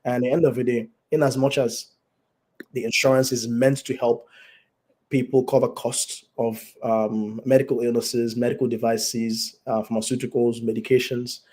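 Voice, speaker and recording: A man, a guest doctor, hoarse and raspy from the flu, explaining at length over a video call and correcting himself as he goes. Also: medium pitch, little pitch movement, normal speed, darkish tone. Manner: long winding explanations with self-corrections. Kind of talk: explanatory interview answer.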